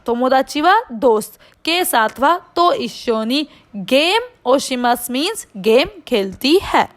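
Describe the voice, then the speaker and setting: High-pitched Indian woman teaching slowly. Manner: didactic, checks understanding with questions. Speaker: Japanese language teacher. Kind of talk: online language lesson with line-by-line translation and explanation.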